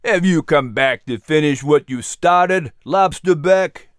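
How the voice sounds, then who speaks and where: A man voicing a furious colonial street rioter in an audiobook, shouting taunts in drawn-out cries. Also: fairly high pitch, clear, resonant tone, no room sound, studio quality. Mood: menacing. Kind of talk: audiobook character acting.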